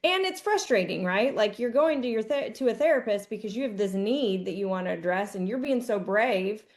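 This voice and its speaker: A woman co-hosting a podcast, chatting casually and thinking out loud, often restarting her sentences and acting out little quoted asides. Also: medium pitch, lively intonation, brisk. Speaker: podcast co-host.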